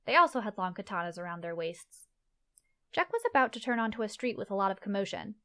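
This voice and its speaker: A woman's voice: mid-range voice, lively intonation, fast, a bit bright. A woman reading scripted fantasy story narration as the narrator of an audio play.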